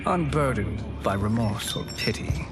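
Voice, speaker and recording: An actor playing a scheming, persuasive sorcerer in a video game, with a measured, self-assured, explanatory delivery. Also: male voice, fairly low pitch, animated. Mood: grand, explanatory. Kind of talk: dramatic video game acting. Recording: loud music behind the voice.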